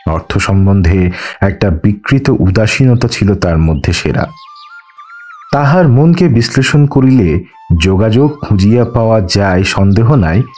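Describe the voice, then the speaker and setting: Male narrator for a literary audiobook, lowish voice, formal and measured storytelling, soft music underneath.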